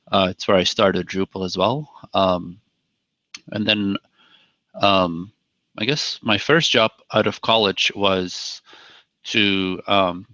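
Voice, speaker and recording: A man in his thirties from Belgium, speaking relaxed in an interview with many ums and uhs. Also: sits low, dry recording. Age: 30s. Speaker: guest, software founder. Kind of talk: conversational interview. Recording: dull lo-fi dry audio.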